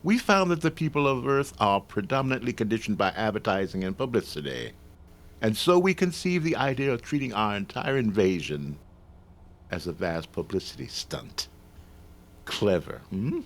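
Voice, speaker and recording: A man acts out a suave Martian commander from a 1950s radio play. His voice is low, measured and formal, with smug, cold menace in every deliberate phrase. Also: sing-song. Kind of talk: 1950s radio drama acting.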